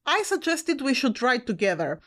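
Chatty higher-voiced woman podcast co-host. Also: big pitch swings, normal speed, fairly bright tone. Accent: Italian. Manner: chatty, laughs often, swears casually. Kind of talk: casual podcast chat.

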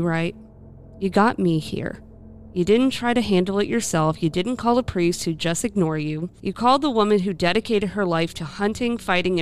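A woman playing a reassuring demon hunter in a radio play, gentle and assured with a folksy touch. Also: fairly low pitch, clear, resonant tone, natural voice. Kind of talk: scripted radio play acting.

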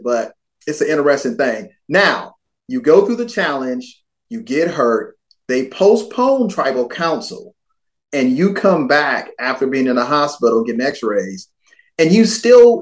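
A man co-hosting a podcast, talking casually and musing about the topic before asking a question. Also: a little high. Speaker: podcast co-host. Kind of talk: casual chat.

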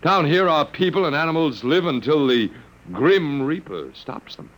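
A man's voice: fairly high pitch, lively intonation, moderate pace, fairly bright tone, low-quality recording. A man playing a sardonic, resigned captive professor in a classic radio drama, musing and hesitating as he talks. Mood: grimly explaining. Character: wry, resigned male anthropology professor held captive by aliens.

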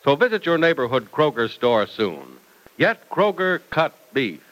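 A man delivers a vintage radio sponsor pitch with emphatic, salesy punch. His intonation swoops up and down in a lively way. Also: a little slow, low-quality recording. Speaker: announcer. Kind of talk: 1940s radio announcing.

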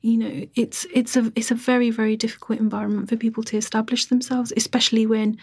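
A woman historian giving measured, emphatic answers in an interview. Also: fairly high pitch, level tone, a little mellow, slightly rough. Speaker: historian, guest.

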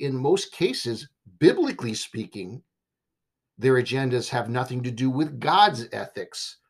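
A man from the United States giving a reflective, earnest monologue in a measured way. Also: medium pitch.

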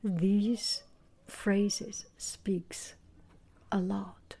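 A woman whispers softly to her viewers as the host of her own channel. Her voice is airy and breathy, and she takes her time over each phrase. She pauses often and hesitates as she talks. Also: medium pitch, level tone. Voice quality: soft whisper. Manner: gentle, hesitant, many pauses and fillers. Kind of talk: whispered vlog talk to viewers.

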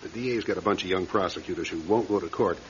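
Low-voiced, commanding police captain played by an actor. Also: male voice, fast, natural voice. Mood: approving, commanding. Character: authoritative, hearty police captain in charge. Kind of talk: old-time radio crime drama acting. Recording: dull, noisy old radio recording.

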